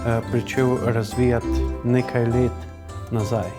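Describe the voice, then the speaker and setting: A man's voice: mid-range voice, little pitch movement, unhurried, over loud music. A man talking in an explanatory interview style.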